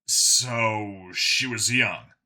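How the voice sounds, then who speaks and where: A man voicing a guarded, anguished young man in a science fiction story, speaking in a halting, emotional way. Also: on the low side, sharp tone, exaggerated voice. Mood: anxious. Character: young male abductee cop, guarded, anguished son.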